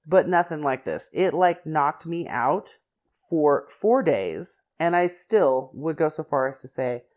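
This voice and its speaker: A woman with a low-pitched voice talks through her own podcast in a loose, chatty way. She wanders off into asides as she goes, and her voice has a slight rasp and the stuffiness of someone still getting over the flu. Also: expressive, slow pace, darkish tone. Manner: chatty and rambling, many "like" and "you know" asides. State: slightly raspy and congested after the flu. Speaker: podcast host. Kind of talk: casual podcast monologue.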